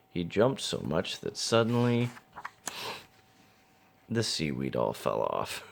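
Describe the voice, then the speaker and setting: Male storybook narrator, low and gravelly, with lively swings in pitch. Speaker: father reading a picture book. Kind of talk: children's storybook narration read aloud.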